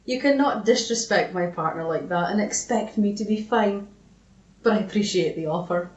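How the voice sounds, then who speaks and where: A woman's voice: medium pitch, moderate pace, darkish tone. A woman co-hosting a podcast, chatting casually and reading old messages aloud. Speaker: podcast co-host. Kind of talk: casual chat and dramatic reading of old arguments. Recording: dull, echoey room sound.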